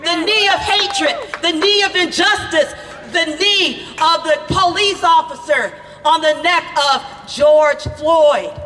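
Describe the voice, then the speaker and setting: An older woman, a grandmother and preacher, giving a fiery protest speech outdoors, often shouting in repeated phrases. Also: very high-pitched, takes it slowly. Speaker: preacher speaking at a rally. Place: outdoor rally at city hall. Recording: reverberant PA sound with loud crowd.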